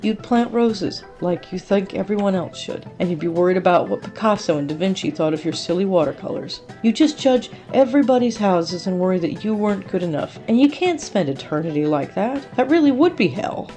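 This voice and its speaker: Female narrator as male angel, patient, gently blunt, coaxing, mellow, storybook reading. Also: medium pitch, expressive, fairly quick, hardly any pauses, faint music behind, close to an everyday voice. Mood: blunt, chiding. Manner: calls listener honey and darling, coaxing.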